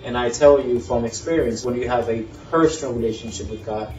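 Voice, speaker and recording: A man in his thirties from Puerto Rico, a band's singer and guitarist, introducing himself in a casual, halting way with many fillers and restarts. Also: medium pitch, mellow. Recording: roomy, distant, dull sound with faint music.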